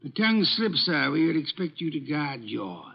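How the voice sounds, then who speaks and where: A man's voice: a little high, very animated, normal speed, vocal fry, slightly rough, exaggerated voice. A man playing a portly, pompous, irritable genius detective with precise, haughty diction, in old-time radio drama style. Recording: dull vintage radio recording.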